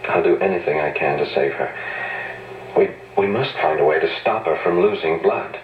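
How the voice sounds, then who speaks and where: Guy as a stressed-out doctor, lowish voice, old radio, echoey and dull. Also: moderate pace, background noise, natural voice. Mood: worried. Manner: anxious, earnest, stammering. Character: worried male doctor, distraught fiancé. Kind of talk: old-time radio drama.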